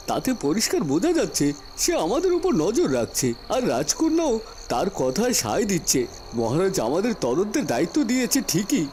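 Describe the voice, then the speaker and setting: A man's voice: high voice, mellow, slight background noise. A man playing a scheming, anxious royal minister in a fairy-tale cartoon, with a dramatic, emphatic delivery.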